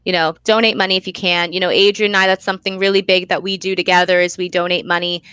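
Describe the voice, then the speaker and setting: A woman's voice: lowish voice, level tone, quick pace, fairly bright tone, smooth, no echo. A young adult woman answering in a conversational interview, earnest and emphatic, repeating phrases for stress. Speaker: guest, student actor and playwright.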